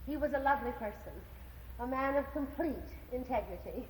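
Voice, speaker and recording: A woman's voice: sits high, lively intonation, a little fast, slight background noise. A gushing pretentious widow landlady. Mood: gushing, flirtatious. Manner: affected, chattering, giggly, drops French phrases. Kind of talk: stage musical, singing and acted dialogue. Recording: live stage soundboard, echoey theater hall.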